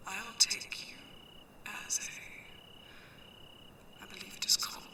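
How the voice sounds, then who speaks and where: A woman's voice: slow pace, echoey room, far from the mic, background noise, lightly acted. A woman playing a sly, menacing pirate mech pilot in an ASMR sci-fi roleplay, teasing and drawing out mock-sweet threats. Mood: calculating.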